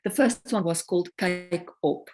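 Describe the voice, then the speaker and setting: A woman from South Africa whose first language is Afrikaans, speaking English with a South African accent. She is a singer-songwriter guest talking about her work in a reflective, unhurried way, often pausing to search for words. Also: on the low side, darkish tone, faint music behind. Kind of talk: casual interview conversation.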